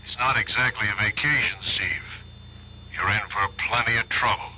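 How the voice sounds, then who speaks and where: A man's voice: fairly low pitch, steady tone, moderate pace, muffled recording, very noisy, close to an everyday voice. An actor playing a stern male narcotics bureau chief in a radio drama, giving blunt orders.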